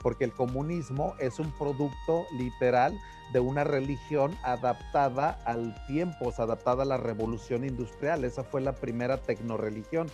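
A man's voice: medium pitch, moderate pace, hardly any pauses, warm tone, soft background music. A Mexican man with a Mexican accent, talking in an emphatic, slangy casual chat, peppered with "cabrón" and "o sea".

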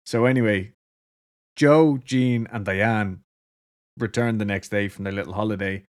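A man's voice: fairly low pitch, a little slow. A man with an Irish accent casually telling a story to a co-host, laughing often and swearing freely. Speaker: podcast co-host.

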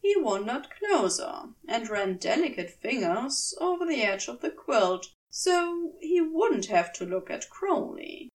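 A woman reading a story aloud as scripted, expressive narration. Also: on the high side, a little slow, hardly any pauses, far from the mic. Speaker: podfic reader.

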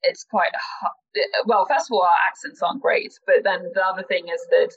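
A woman's voice: medium pitch, normal speed, slightly rough, dull audio. A woman talking casually as a guest in a podcast interview. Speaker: interview guest, company founder.

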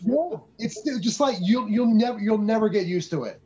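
An excitable man chats through a movie review, acting out his own reactions and swearing freely. His voice sits fairly high and sounds husky. The recording is dull and lo-fi. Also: normal speed. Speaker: podcast co-host. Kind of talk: casual movie review chat.

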